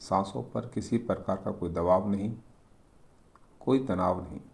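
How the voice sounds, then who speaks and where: A man leading a guided meditation, speaking calmly and gently in short phrases with pauses as he gives instructions. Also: sits low, vocal fry.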